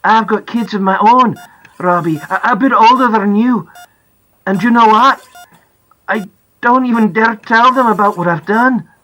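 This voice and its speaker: A woman's voice: fairly high pitch, a little slow, fairly bright tone, voice pushed up, poor audio. A woman voicing an upset, apologetic Scottish father in an audiobook, speaking earnestly with a wobbly, pleading delivery. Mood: wobbly, ashamed. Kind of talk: audiobook character acting.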